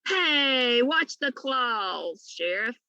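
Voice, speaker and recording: A woman's voice: fairly high pitch, big pitch swings, very slow, pushed and bright, no room sound, heavily acted. A woman voicing a plucky, kind young female pig in a storybook, with an earnest, eager manner. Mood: protesting.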